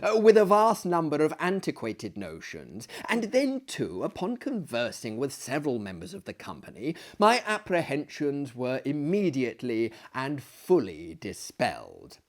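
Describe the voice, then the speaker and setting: A man in his thirties giving a theatrical literary reading in a hammy, mannered way, with deliberate phrasing and dramatic swells and drops. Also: medium pitch, wildly swooping pitch. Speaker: dramatic reader and storyteller. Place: small book-lined room indoors, seated in an armchair.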